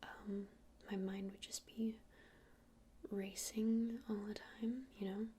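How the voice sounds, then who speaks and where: A woman playing a gentle witch shopkeeper in an ASMR roleplay, speaking in a breathy whisper and explaining things in an unhurried, hesitant, soothing way. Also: mid-range voice, flat delivery, slight background noise, lightly acted. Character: gentle witch shopkeeper showing crystals to a customer.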